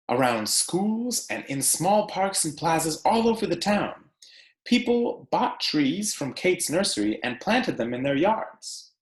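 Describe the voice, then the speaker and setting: A man reads a children's book aloud in the manner of a schoolteacher. His pitch moves up and down in a lively way, and he takes his time, setting phrases apart with emphasis. The room adds an audible echo around his voice. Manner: deliberate, emphatic phrasing with rhetorical questions for young listeners. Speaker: teacher reading a picture book aloud to students. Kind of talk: expressive read-aloud with conversational asides.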